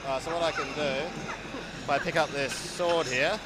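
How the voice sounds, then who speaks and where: A man's voice: on the high side, fairly even intonation, moderate pace. A man giving a casual, informal live commentary as he demonstrates a game. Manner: informal, easygoing. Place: busy game expo show floor. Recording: loud noisy expo floor background.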